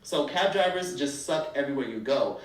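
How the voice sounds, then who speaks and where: A man giving blunt, animated travel advice in a casual monologue, sometimes acting out strangers' voices. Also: medium pitch, a little fast. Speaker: travel vlogger. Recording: roomy echo from a distance.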